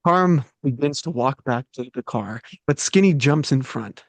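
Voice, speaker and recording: A man's voice: medium pitch, lively intonation, a little slow, vocal fry, no echo. A man reading scripted stage directions aloud as the narrator of a table read.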